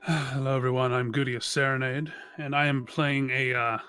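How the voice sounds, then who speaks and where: A man introducing his tabletop game character, halting and searching for his words. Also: medium pitch, flat delivery, pushed and bright, clean tone, soft background music.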